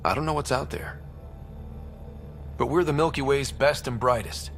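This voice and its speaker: A man's voice: medium pitch, moderate pace, natural voice. An earnest young explorer captain in a sci-fi game, played by a man. He has a mid-range, everyday voice with a sincere, plain delivery, and faint music plays behind him. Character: earnest young male space explorer leader. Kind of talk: video game voice acting.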